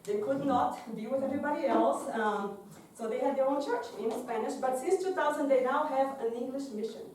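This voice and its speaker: A woman's voice: high voice, fairly quick, hardly any pauses, darkish tone, very echoey, far from the mic, a little hiss or hum, rough recording. A woman from Brazil with a Portuguese accent, a doctoral student giving frank panel remarks with frequent self-corrections. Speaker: doctoral student, church music director.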